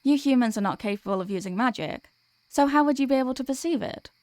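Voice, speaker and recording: Soothing, high-voiced demon comforter played by a woman. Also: lively intonation, quick pace, a little mellow, no room sound. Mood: gentle, reassuring. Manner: soothing, reassuring, tender. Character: gentle, protective sleep paralysis demon comforting a human. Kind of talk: ASMR comfort roleplay.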